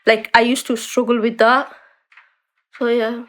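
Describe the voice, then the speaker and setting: A woman's voice: a little high, flat delivery, unhurried. A young woman guest, talking casually in an interview with lots of fillers and restarts. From born in a refugee camp in Bangladesh, raised in the UK. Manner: chatty, many likes and restarts.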